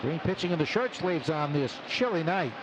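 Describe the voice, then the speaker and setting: A man calling live baseball play-by-play on the radio in short, clipped phrases packed with stats between pitches. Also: animated, normal speed. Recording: noisy live outdoor radio broadcast. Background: loud ballpark ambience.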